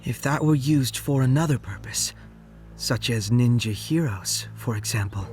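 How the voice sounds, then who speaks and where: A composed young male ninja played by a man with a mid-pitched voice, explaining carefully. It is anime game dialogue with faint music underneath. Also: a little slow, darkish tone, lightly acted. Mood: thoughtful. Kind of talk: anime video game cutscene acting.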